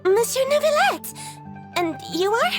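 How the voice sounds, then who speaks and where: A tiny, childlike fantasy creature for a video game. Her voice is extremely high and squeaky, swooping wildly with naive, cutesy eagerness. Music plays clearly behind her. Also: sing-song, measured pace, full character voice. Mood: bright, naive. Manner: cutesy, exclamatory. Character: small childlike melusine girls and fairy companion, sweet, naive, eager. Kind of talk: scripted video game voice acting.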